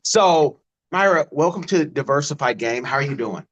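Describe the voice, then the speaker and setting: A man's voice: on the high side, lively intonation, normal speed, fairly bright tone, muffled recording. A man hosting a business podcast, introducing his guest in an enthusiastic, informal way. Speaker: podcast host. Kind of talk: casual interview hosting.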